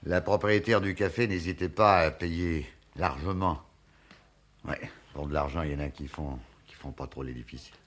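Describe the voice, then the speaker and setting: An actor playing a shrewd, indulgent detective boss in a French radio play, with a genial, persuasive manner. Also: male voice, rumbling low, moderate pace, fairly bright tone. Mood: knowing, discreet.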